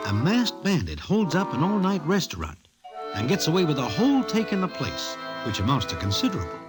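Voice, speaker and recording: An American actor narrating 1940s radio drama as a wry Runyonesque storyteller, in present tense and mock-formal slang. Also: male voice, fairly high pitch, poor audio. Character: colloquial, wry Runyonesque storyteller of racetrack tales.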